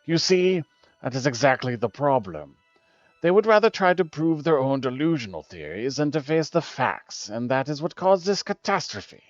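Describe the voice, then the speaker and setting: Man acting as indignant, formal investigator, slightly high voice. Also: very animated, normal speed, no echo. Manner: formal, insistent. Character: indignant, accusatory male investigator demanding punishment at council. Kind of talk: dramatic political dialogue in a story game. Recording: dull lo-fi audio with music behind.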